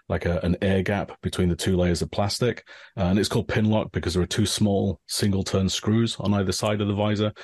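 English man, low voice, dry close sound, relaxed explainer, middle-aged. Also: husky, no room sound. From northern England. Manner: relaxed, explanatory, with many fillers. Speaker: guest. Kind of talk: casual explaining.

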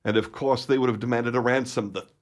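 A man playing a gruffly protective father and boss in a radio play, speaking emphatically. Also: mid-range voice, fairly even intonation, fast, natural voice. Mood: proud, indignant.

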